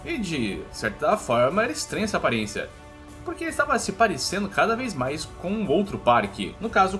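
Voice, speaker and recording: A man's voice: on the high side, fairly bright tone. A man with a Brazilian accent narrating a scripted story recap in informal, colloquial storyteller phrasing.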